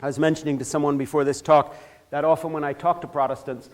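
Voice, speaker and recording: An older man from Switzerland lectures in a church with a fairly high voice. He builds from measured remarks to heated, forceful emphasis and often trips over and restarts his phrases. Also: little pitch movement. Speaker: speaker giving a church talk. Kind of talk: impassioned lecture.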